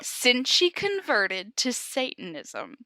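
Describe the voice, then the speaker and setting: A woman's voice: a little high, huge pitch swings, slow, pushed and bright, dry recording, poor audio. A woman co-hosting a comedy podcast, giggly and exclamatory, moving between casual chat and mock-dramatic story reading. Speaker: podcast co-host.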